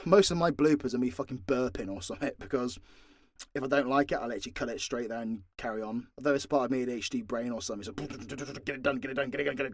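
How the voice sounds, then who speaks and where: British male, medium pitch, informal and sweary, voice actor doing an unscripted fan Q&A, no room sound. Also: fairly bright tone, no room sound, studio quality. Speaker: voice actor and channel creator answering fan questions. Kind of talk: casual unscripted Q&A chat.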